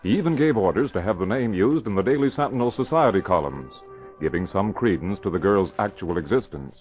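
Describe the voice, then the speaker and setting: A man's voice: lowish voice, animated, brisk. A man narrating an old-time radio crime drama in scripted style, on a muffled recording with music behind.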